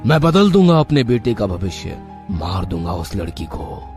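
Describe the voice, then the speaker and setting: A man playing a rich, ruthless, scheming astrologer father in a moral story, with deliberate, self-important dramatic delivery. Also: fairly low pitch, big pitch swings, a little mellow, soft background music. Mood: grimly determined.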